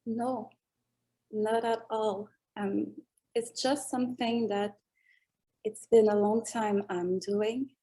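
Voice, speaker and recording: A woman with a French accent answers interview questions in English, her second language. She speaks very slowly and reflectively. She pauses as she searches for the right words. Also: medium pitch, fairly even intonation, dark tone, rough recording. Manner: slow, thoughtful, searching for English words, laughs often. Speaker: music artist guest.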